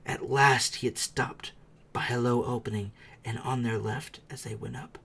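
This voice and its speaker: A man's voice: mid-range voice, fairly even intonation, normal speed, creaky, fairly bright tone, slightly rough. A man reading a storybook aloud as a dramatic narrator, with theatrical, suspenseful delivery. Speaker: audiobook reader.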